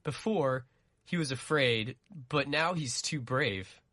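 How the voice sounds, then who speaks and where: An actor playing a brash young man of the American West in a dramatic story reading, speaking deliberately and emphatically. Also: mid-range voice, sharp tone, no echo, studio quality. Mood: puzzled, grudging.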